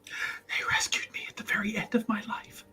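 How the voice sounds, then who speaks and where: A man's voice: moderate pace, whispered, lightly acted. A man voicing a vain, melodramatic man who is theatrically self-pitying and grandiose in a tabletop game. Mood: plaintive, overwrought. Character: vain, melodramatic man devoted to his one-of-a-kind coat.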